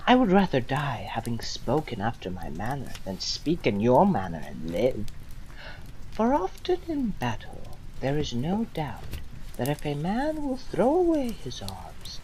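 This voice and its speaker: A woman playing a condemned old philosopher, defiant and serene, delivering a solemn, deliberate theatrical monologue to judges with long pauses. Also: extremely low pitch, wildly swooping pitch, exaggerated voice, rough recording. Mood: grave, resolute. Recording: amateur recording with loud background noise.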